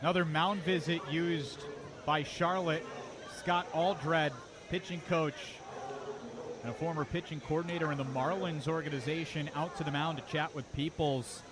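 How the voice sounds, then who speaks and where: A man doing live radio play-by-play of a baseball game as the announcer, talking conversationally between pitches and lifting his voice for action. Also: steady tone, normal speed, a crowd in the background. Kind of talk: live radio sports commentary. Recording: live ballpark broadcast with loud background noise. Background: outdoor ballpark ambience.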